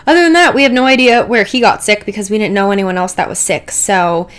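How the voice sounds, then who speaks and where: Young woman, stuffy dry throat, just over a cold, rambling casual voice-over, lots of "like", middling pitch. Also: fast, clear, resonant tone. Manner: chatty and rambling, many "like"s and asides. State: getting over a cold, clearing her throat. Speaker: Sims build creator. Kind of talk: casual voice-over narration of a speed build.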